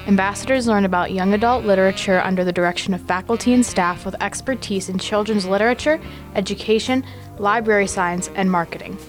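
A woman's voice: medium pitch, brisk. Teenage young woman, faint music behind. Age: teens. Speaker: teen reading ambassador, podcast panelist. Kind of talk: scripted reading and casual discussion.

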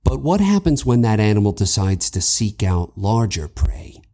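A man with a fairly low voice hosts a podcast. He talks conversationally, as if to regular listeners, setting up a horror tale. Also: very animated, moderate pace, dark tone. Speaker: podcast host.

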